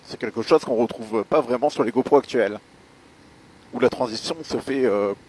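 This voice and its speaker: A man giving a spoken gear review as a motovlogger, explaining things in a measured, hesitant way with pauses. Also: medium pitch, darkish tone, breathy edge, poor audio. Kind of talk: spoken product review while riding.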